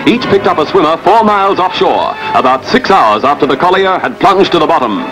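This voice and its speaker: Animated 1950s newsreel man, higher-pitched voice. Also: brisk, low-quality recording. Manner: formal, declamatory. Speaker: newsreel narrator. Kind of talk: 1950s newsreel scripted narration. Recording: 1950s archival newsreel soundtrack. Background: music.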